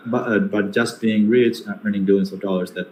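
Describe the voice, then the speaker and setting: A man's voice: on the low side, fairly even intonation, a little fast, darkish tone. A young man from Bangladesh with a Bangladeshi accent, answering seminar questions with many fillers and restarts. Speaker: guest speaker. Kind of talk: online seminar Q&A. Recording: echoey room with faint noise.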